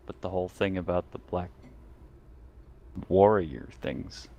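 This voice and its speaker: A man's voice: deep voice, unhurried, vocal fry, mellow. A man taking part in a tabletop roleplaying game, discussing it casually with the group.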